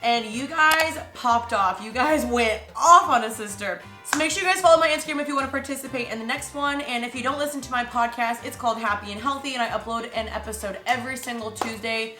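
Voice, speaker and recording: A woman's voice: on the high side, sharp tone, roomy sound. Bubbly, slangy woman podcast host. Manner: bubbly, slangy, laughs often. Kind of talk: casual podcast chat.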